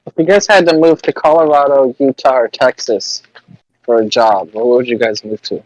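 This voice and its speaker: A man's voice: mid-range voice, steady tone, moderate pace, no echo, dull audio. A young man chatting loosely with friends and swearing casually. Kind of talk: casual group chat.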